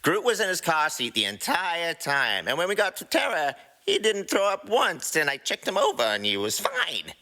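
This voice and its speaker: A cocky little raccoon troublemaker, acted out by a man, with a bright, forceful edge and wildly swinging pitch. He sounds defensive and quick to snicker, like a comic audio drama rascal. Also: wildly swooping pitch, exaggerated voice. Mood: cocky storytelling. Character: brash, snickering small male raccoon troublemaker.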